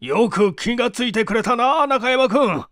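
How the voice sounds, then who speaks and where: A man's voice: very high voice, lively intonation, unhurried, a bit bright, dry recording, studio quality, voice pushed up, heavily acted. Casting: man as indignant, pompous company boss for storybook drama; raised, swinging, declamatory delivery. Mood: resolute, grateful. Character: company president, authoritative, indignant older businessman.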